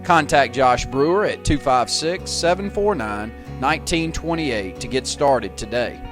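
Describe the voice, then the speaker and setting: Male ad voice, scripted and steady, with guitar bed. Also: medium pitch, unhurried. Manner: steady promotional read. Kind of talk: scripted radio read.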